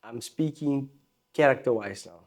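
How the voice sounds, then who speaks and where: A man's voice: mid-range voice, a little slow. A South African man, a guest musician, talking in a casual podcast conversation, emphatic and fond of repeating phrases. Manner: animated, emphatic, repeats phrases, laughs often.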